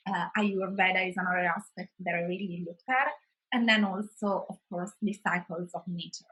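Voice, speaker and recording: A woman's voice: lowish voice, fairly even intonation, moderate pace, a bit bright, low-quality recording. A woman in her thirties from Italy with an Italian accent, a wellbeing consultant speaking as an interview guest in a thoughtful way, with many restarts. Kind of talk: conversational interview.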